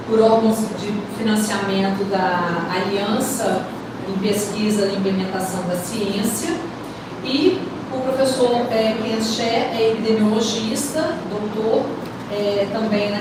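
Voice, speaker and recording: A woman's voice: mid-range voice, very noisy. A woman hosting a seminar, introducing the speakers hesitantly and with many fillers. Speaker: seminar host. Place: lecture hall. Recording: echoey hall from far off.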